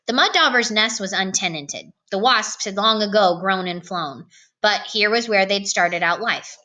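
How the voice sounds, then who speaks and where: A woman reading a novel aloud in an expressive storytelling style. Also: mid-range voice, normal speed. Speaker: storybook reader.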